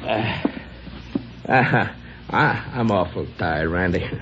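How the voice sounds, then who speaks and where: An actor playing a sweet, slow, down-and-out American boxer in an old radio drama, stammering and repeating himself in a childlike, pleading way. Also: male voice, deep voice. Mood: weary, insistent. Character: sweet, slow, punch-drunk down-and-out boxer, gentle and anxious. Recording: dull, noisy old radio recording.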